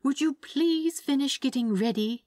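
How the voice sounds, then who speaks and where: A woman's voice: sits high, a little slow, dark tone, no echo, clean studio sound, voice pushed up. A woman voicing a prim, doting male angel with a fussy, polite manner in a storybook reading. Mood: prim, scolding. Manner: fussy, polite, cooing, tutting. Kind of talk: storybook character voice in fan fiction reading.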